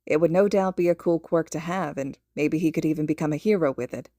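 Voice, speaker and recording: A woman's voice: deep voice, fairly quick, dark tone, no room sound, clean studio sound. A woman reading scripted fiction aloud as a podfic narrator. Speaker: podfic reader and host.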